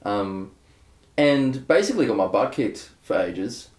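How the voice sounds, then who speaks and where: A man's voice: fairly low pitch, very animated, measured pace. Rambling man in an echoey room. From Australia. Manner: rambling, candid, many fillers and restarts. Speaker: guest bass player. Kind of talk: casual interview chat.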